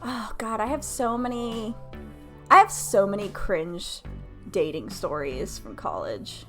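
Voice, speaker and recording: Slow-paced young woman chatting on stream. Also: medium pitch, lively intonation, sharp tone, faint music behind. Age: late twenties. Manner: candid, crude-joking, self-deprecating, swears freely. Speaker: game streamer. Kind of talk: casual storytelling chat with livestream viewers.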